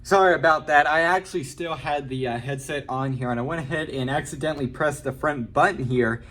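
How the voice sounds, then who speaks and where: A man giving a casual, informal product review, talking in a halting way and hedging his opinions. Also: a little high, fairly bright tone. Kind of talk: casual unboxing and review talk.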